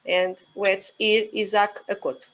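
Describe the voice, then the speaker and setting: A woman's voice: mid-range voice, little pitch movement, a little slow, fairly bright tone. Hesitant Portuguese woman chairing a session. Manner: hesitant, many fillers, switches between Portuguese and English. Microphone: video call.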